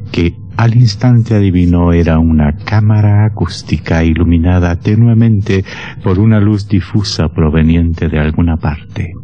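An actor as a deep, dark sinister horror narrator. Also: male voice, lightly acted. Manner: solemn, deliberate, ominous. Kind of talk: scripted radio drama narration. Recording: muffled old radio sound, music behind.

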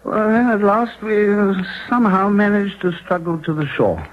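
Man playing young dreamer rascal bragging, highish voice, theatrical old radio play, dull sound. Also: moderate pace, close to an everyday voice. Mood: grandiose, excited. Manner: declamatory, storytelling, grandiose. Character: boastful, dreamy young Norwegian rascal son spinning tall tales. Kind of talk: 1940s radio drama acting. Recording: muffled 1940s radio broadcast, faint noise.

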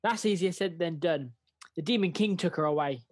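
A woman's voice: lowish voice, normal speed, no room sound, clean studio sound, lightly acted. A woman playing an earnest, plain-spoken young man in a radio pantomime. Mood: hopeful. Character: earnest young man, the squire's kindly son.

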